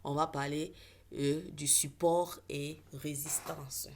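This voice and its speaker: A woman's voice: low-pitched, flat delivery, takes it slowly, slight background noise. A woman who speaks English natively, teaching trading in a casual online lesson with many fillers and self-corrections.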